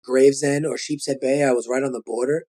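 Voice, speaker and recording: A man in his forties with a thick Brooklyn accent, telling stories in a casual, animated, slangy way as an interview guest. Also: medium pitch, quick pace. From Brooklyn, New York. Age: 40s. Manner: animated street-style storytelling, slangy. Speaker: guest rapper.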